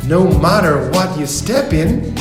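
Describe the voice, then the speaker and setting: A woman narrates a children's story in a very slow, emphatic, theatrical way. Her pitch moves up and down a lot with each phrase. The sound is reverberant, like a hall. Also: expressive. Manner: theatrical, drawn-out, emphatic delivery. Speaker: storyteller reading a children's picture book. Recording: echoey hall reverb, music underneath. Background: music.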